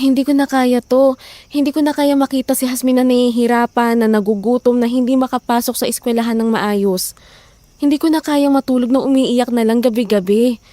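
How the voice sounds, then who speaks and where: A woman's voice: fairly high pitch, dark tone, no echo, natural voice. A woman acting as a weary, frustrated wife and mother in hard times, emotional and reproachful, in an audio drama. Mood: weary, pleading. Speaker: voice actor.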